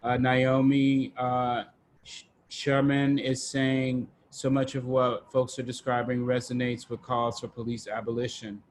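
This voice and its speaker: A man facilitating an online group discussion in a halting, informal, casual way. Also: mid-range voice, flat delivery. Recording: noticeable background noise on online call.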